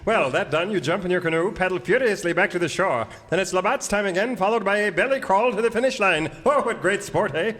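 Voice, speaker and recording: High-voiced, hearty Canadian Mountie. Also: male voice, fast talker, few pauses, a bit bright. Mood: gleeful. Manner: hearty, formal, ends sentences with "eh". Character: proud, chirpy Canadian Mountie sergeant, boastful, sentimental. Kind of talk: comic Western radio theater sketch before an audience. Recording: reverberant hall, crowd, faint noise.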